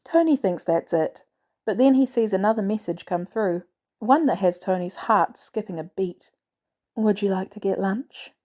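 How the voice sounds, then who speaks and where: Female narrator for fan fiction, relaxed dark-leaning timbre, reading prose. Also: medium pitch. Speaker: fan fiction podfic reader. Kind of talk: scripted story narration.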